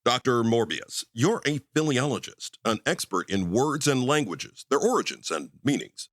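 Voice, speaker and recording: An actor playing a brisk, authoritative spaceship commander with a formal, questioning manner in an old-time radio sci-fi drama. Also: male voice, mid-range voice, expressive, a bit bright, no room sound, studio quality, lightly acted. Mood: firm.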